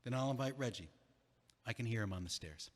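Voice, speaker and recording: A man's voice: mid-range voice, animated, a little fast, lightly acted. An actor playing an older male friend, exasperated but caring, in an audio play. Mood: earnest, caring. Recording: echoey hall with long reverb.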